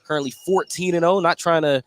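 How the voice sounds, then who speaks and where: A man's voice: a little high, fairly even intonation, fast talker. A man doing live basketball play-by-play on the radio, calling the action in short, clipped phrases. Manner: clipped running call of the action. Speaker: play-by-play commentator. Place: indoor gym during a game. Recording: noisy live sports venue broadcast.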